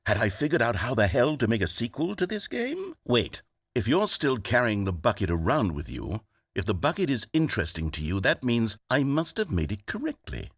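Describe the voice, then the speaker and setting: A smug British game narrator. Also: male voice, slightly rough, dry recording, exaggerated voice. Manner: theatrical, swinging wildly in pitch. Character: smug, scheming male narrator, middle-aged. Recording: dry studio voice-over.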